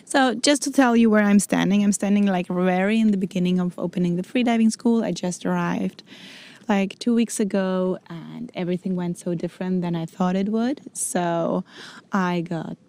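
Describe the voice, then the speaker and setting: A woman's voice: on the low side, steady tone, moderate pace, darkish tone. A woman in her forties who grew up in Greece and Germany, talking casually as a vlog host, informally searching for words in non-native English. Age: 40s. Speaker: freediving instructor and show host. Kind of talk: casual personal vlog talk.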